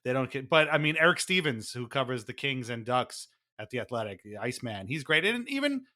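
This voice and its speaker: A man's voice: mid-range voice, sing-song, fairly quick, sharp tone. A man co-hosting a casual sports podcast, talking in a wry, amused, conversational way. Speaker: podcast co-host.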